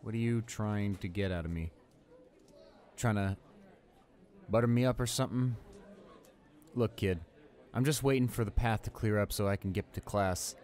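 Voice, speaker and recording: Man acting smug, low-voiced young student bully. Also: normal speed, pauses often, darkish tone, natural voice. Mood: smug, condescending. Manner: smug, dismissive, muttering to himself. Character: arrogant, irritable male student bully with hidden guilt. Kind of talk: improvised audio roleplay.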